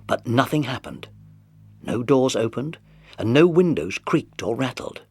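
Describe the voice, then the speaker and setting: A man's voice: medium pitch, sing-song, unhurried, creaky, slightly rough, soft background music. A man narrating a children's mystery story in a scripted, dramatized audiobook.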